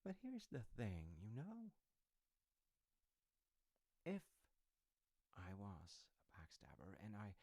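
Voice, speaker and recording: A man playing a sly, teasing secret agent turncoat in an intimate audio roleplay, speaking in coy, halting phrases with hushed asides and a falsely innocent menace. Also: medium pitch, no room sound, heavily acted. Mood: musing, insinuating.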